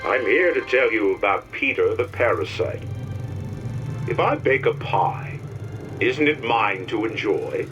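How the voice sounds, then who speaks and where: An actor playing a pompous industrialist ruler, delivering theatrical, oratorical propaganda with emphatic grandeur. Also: male voice, lowish voice, animated, measured pace, lo-fi sound, music in the background. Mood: preachy, folksy. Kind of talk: propaganda address, theatrical game voice acting.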